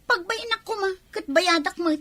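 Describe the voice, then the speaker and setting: A woman's voice: very high voice, normal speed, sharp tone, dry recording. A woman with an Ilocano accent playing a vain, brazen young woman who shrugs off her debts in a radio drama. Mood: flippant. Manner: defiant, flippant.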